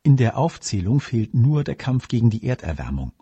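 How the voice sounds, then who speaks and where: A man reading a scripted political commentary aloud in a measured, formal manner. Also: mid-range voice, big pitch swings, mellow, breathy edge, no room sound, highs cut off.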